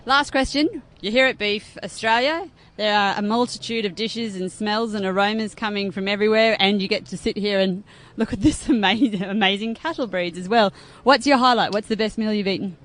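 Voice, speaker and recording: A woman interviewing people at an outdoor food event, asking lively, chatty questions. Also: mid-range voice, fairly quick, few pauses. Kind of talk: radio interview.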